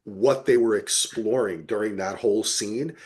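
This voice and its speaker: A man's voice: fairly low pitch, moderate pace, a little mellow, breathy edge. A man co-hosting a podcast, chatting casually about television in an emphatic, opinionated way with some casual swearing. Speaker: podcast co-host. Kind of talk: casual TV review chat.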